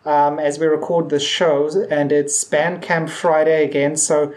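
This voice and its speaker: A man's voice: a little high, flat delivery, measured pace, darkish tone. A man hosting a podcast in casual chat, speaking in a hesitant, rambling and tentative way. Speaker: podcast host.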